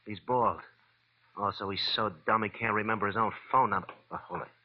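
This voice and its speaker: A man's voice: lowish voice, a little fast, lightly acted. A man playing a nasty, threatening little gunman in a 1940s radio crime drama, with tough, ungrammatical street slang. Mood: suspicious. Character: small, nasty, long-haired gunman, surly and threatening. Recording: muffled old radio recording.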